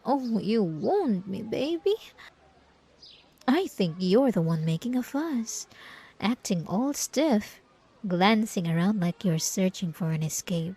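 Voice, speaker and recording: A woman's voice: mid-range voice, big pitch swings, dark tone, breathy edge, full character voice, poor audio. A woman playing a possessive, gaslighting ex-girlfriend in an ASMR roleplay, coy and mock-innocent with a sweet menace, speaking straight to the listener. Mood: quietly threatening. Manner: coy, teasing, mock-innocent, drawn-out pet names.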